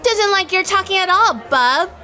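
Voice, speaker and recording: An actress playing a feisty, flirtatious and sardonic gangster's wife in a 1930s-style radio drama. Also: female voice, very high-pitched, animated, moderate pace, sharp tone, soft background music, a faint crowd, heavily acted. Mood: defiant. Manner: sassy, sardonic. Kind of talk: hardboiled period radio drama dialogue and first-person narration.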